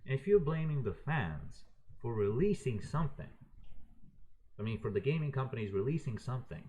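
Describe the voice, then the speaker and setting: A man's voice: mid-range voice, very animated, moderate pace, a little mellow, lo-fi sound, slight background noise. A man raised in Croatia giving an unscripted, heated and profane opinion rant, talking to his viewers in an exasperated way. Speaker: video ranter.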